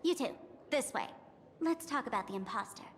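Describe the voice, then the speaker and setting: A woman's voice: on the high side, expressive, normal speed, lightly acted. A woman voicing a playful, self-assured young girl who runs a jesters' tavern in a video game. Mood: commanding, playful. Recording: loud game music behind.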